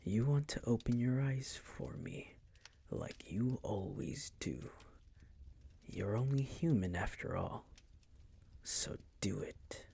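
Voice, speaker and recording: A man acts out a seductive vampire master in an intimate roleplay audio. His low voice is husky and gravelly, slipping often into whispers. He coaxes slowly and condescendingly, predatory yet doting and possessive. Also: warm tone. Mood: commanding, hypnotic. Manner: slow, teasing, condescending coaxing.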